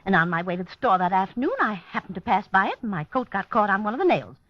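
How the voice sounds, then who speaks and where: An actress playing an indignant, chatty, emphatic neighborhood witness in 1950s radio drama style. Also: female voice, mid-range voice, big pitch swings, fast talker, no echo. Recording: dull 1950s radio recording.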